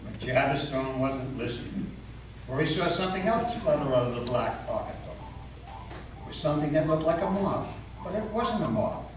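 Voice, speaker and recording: A man's voice: on the high side, expressive, normal speed, crowd noise. Folksy male storyteller in an echoing hall. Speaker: storyteller in dramatic reading. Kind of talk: dramatic story narration. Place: public meeting house, indoors. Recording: very echoey hall, distant mic, faint noise.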